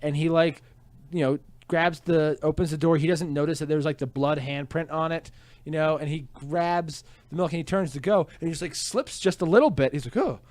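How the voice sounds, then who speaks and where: A man in casual group chat, telling a story with animated, casual swearing. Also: a little high, fairly quick.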